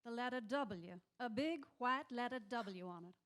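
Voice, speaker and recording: A woman plays a cautious small-town judge from an old-time radio chiller. The voice sits a little high. The delivery is courteous, folksy and hesitant. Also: expressive, normal speed, fairly bright tone. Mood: hesitant, uneasy.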